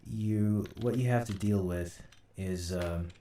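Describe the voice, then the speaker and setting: A man giving an informal screen-recorded software tutorial, thinking aloud haltingly as he works. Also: on the low side. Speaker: host, audio drama sound designer. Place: indoor room at a computer. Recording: roomy, distant mic, light noise. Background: keyboard typing and mouse clicks, faint noise.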